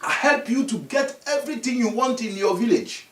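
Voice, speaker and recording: A man putting on a stern river spirit that scolds and commands, its voice pitched up and pushed louder than the storyteller's own. The orders ring out in an echoey room, picked up from some distance. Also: moderate pace. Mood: commanding. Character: commanding river spirit, a hidden youth posing.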